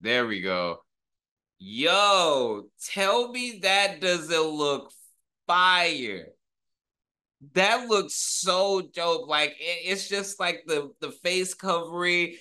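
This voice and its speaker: Slow-paced, enthusiastic man reacting casually. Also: high-pitched, expressive, pushed and bright, clean tone. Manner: enthusiastic, awed, slangy. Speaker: reaction video host, rapper. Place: small home room.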